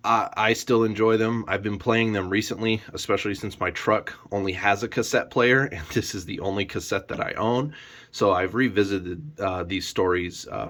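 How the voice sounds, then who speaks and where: Low-voiced man giving a casual rambling review. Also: fairly bright tone. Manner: rambling, hedging with frequent "you know". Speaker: YouTube collector reviewer. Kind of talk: casual unscripted review vlog.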